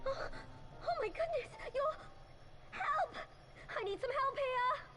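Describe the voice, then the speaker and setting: An actress voicing a tiny, cute, excitable female helper in a game cutscene, squeaky and fretful. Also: takes it slowly, soft background music, exaggerated voice. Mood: panicked. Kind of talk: scripted video game cutscene acting.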